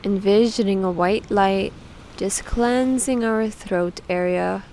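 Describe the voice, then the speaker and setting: Slow-paced woman guiding a meditation. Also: medium pitch, dark tone, a little hiss or hum. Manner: slow, pausing, soothing instruction. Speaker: guided meditation host. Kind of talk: guided meditation.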